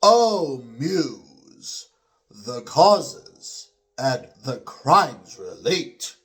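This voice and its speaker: A man giving a dramatic, declamatory recitation of classical epic poetry, with drawn-out pauses between phrases. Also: a little high, sing-song, fairly bright tone. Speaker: poetry reader.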